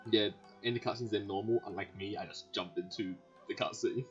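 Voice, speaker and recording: A woman's voice: very deep voice, fairly even intonation, fairly quick. A woman streamer casually and playfully reacting to a game in short interjections. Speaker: gaming streamer. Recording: dull lo-fi mic, faint game music.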